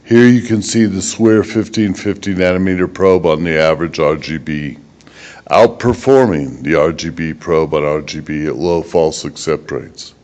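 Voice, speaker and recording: A man giving a technical conference presentation as a researcher, in a measured, halting way with occasional stumbles. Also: a bass voice, darkish tone.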